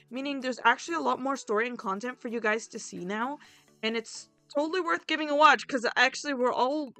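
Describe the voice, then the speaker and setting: A woman with a fairly high voice talks casually to her viewers as a video creator. Her delivery is informal and chatty, like an end-of-video update. Soft music plays behind her. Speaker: roleplay series host and video creator. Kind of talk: casual YouTube outro announcements, chatting to viewers. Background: background music.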